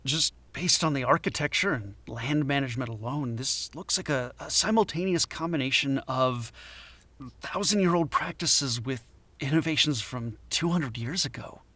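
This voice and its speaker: An actor playing a defensive, fussy anthropology professor in an audio drama, curt and indignant, stammering when flustered. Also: male voice, medium pitch, moderate pace, bright, forceful tone, no echo. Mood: puzzled, fascinated.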